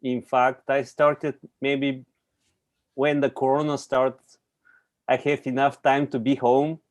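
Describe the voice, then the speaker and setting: Man from Bulgaria, English, unhurried, dry close mic. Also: medium pitch, little pitch movement, dry recording. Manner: halting non-native phrasing with laughs. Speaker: meeting participant, programmer. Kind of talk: casual self-introduction on a call.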